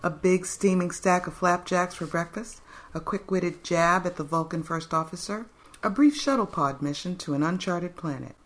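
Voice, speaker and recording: A woman's voice: lowish voice, normal speed. A woman narrator giving a scripted dramatic reading of fan fiction.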